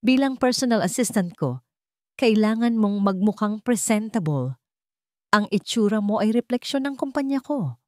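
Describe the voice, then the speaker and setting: A woman's voice: mid-range voice, expressive, moderate pace, no room sound, studio quality, voice pushed up, lightly acted. A woman voicing a cold, curt, rich male boss who gives stern orders in a romance audio drama. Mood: cold, matter-of-fact.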